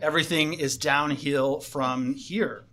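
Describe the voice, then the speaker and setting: A man with a fairly high voice hosts a casual school radio chat. He talks with many fillers and false starts and keeps circling back to finish his thoughts. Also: fairly even intonation, fairly bright tone. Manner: halting, many fillers and restarts. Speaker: host, assistant principal.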